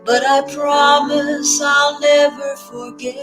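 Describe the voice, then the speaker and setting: High-voiced woman singing slowly over piano. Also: little pitch movement. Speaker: guest musician, singer. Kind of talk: gentle inspirational singing with piano. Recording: loud piano accompaniment.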